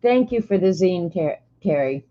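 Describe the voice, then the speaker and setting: A woman's voice: on the low side, expressive, normal speed. A woman in her sixties chatting casually on a livestream as she opens mail, thinking aloud and laughing often. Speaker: streamer opening mail on stream. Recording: slightly dull lo-fi stream audio.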